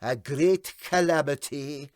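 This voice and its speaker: A man's voice: fairly high pitch, slow, dry recording, clean studio sound. A man voicing a doleful Scottish boat's mate in a comic story, plaintive and moaning. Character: doleful, pathetic male boat's mate, gloomily agreeing. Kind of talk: comic storybook character reading.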